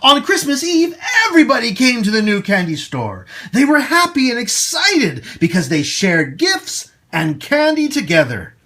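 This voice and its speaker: A man hosting storytime for children, reading and talking to them in an expressive, emphatic way. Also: very high-pitched. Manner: animated, slow, emphatic delivery for young children. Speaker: storytime host and children's teacher.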